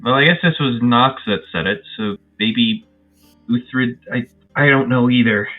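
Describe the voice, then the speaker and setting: A man's voice: mid-range voice, unhurried. A man playing a tabletop game, tentatively narrating his character in casual talk. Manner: hesitant, tentative. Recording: phone-like thin audio, faint music. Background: faint music.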